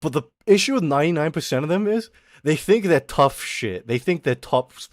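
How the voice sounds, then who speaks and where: A young man streaming as a VTuber, ranting in a casual, animated way. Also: a little high, no room sound, studio quality. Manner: animated, exaggerated, quick mock voices and laughs.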